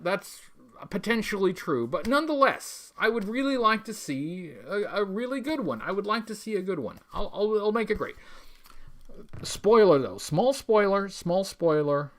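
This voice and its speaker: A man hosting a casual livestream, talking in a rambling, halting, stop-start way with a wry, tongue-in-cheek manner as he chats with viewers. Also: high-pitched, expressive, a bit bright. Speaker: livestream host. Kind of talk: casual livestream chat reading viewer messages.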